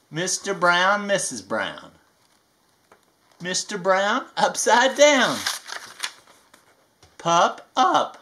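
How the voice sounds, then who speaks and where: Someone voicing a folksy Southern alligator storyteller, bright voice. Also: mid-range voice, a little hiss or hum, full character voice. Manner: folksy, playful, drawn-out emphatic delivery. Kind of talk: children's picture book read-aloud.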